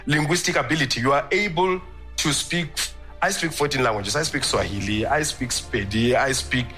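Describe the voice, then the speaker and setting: A man's voice: medium pitch, moderate pace, bright, forceful tone, faint music behind. A man giving a persuasive public speech, driving his point home with repetition. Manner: emphatic, repetitive lecturing. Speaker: public speaker.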